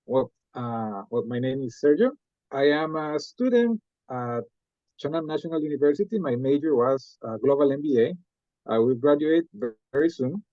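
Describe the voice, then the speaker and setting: A man's voice: mid-range voice, lively intonation, normal speed, no room sound. A young man from Rica, giving a hesitant slide presentation to an audience with many fillers. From Costa Rica. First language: Spanish. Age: young adult. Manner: hesitant, frequent uh and um fillers, asks for next slide. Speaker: student presenter. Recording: slightly muffled, lo-fi.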